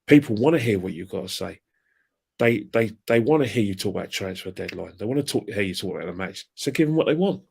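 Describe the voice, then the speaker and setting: A low-voiced man rants in a heated, casual chat. His voice sits deep, and he throws in colourful insults as he goes on at length. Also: fast talker, creaky, breathy edge. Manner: animated, ranting, colourful insults. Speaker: fan channel guest.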